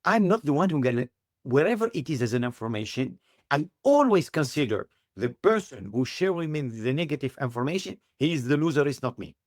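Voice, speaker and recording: Man, middle-aged, halting upbeat English, dry close sound. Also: medium pitch, very animated, a little mellow, no echo. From Tunisia. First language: Arabic. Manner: halting non-native English, earnest and upbeat. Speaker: guest. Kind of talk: interview answers.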